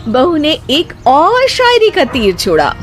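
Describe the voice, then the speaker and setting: A woman's voice: high-pitched, wildly swooping pitch, slow. A woman narrating a scripted moral story as a storyteller. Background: background music.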